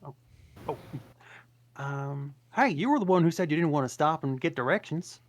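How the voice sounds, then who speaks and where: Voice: mid-range voice, animated, close to an everyday voice. Reader doing a sassy, chatty donkey. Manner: fast-talking, sassy, defensive. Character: chatty talking donkey, cocky and loyal. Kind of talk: amateur table read.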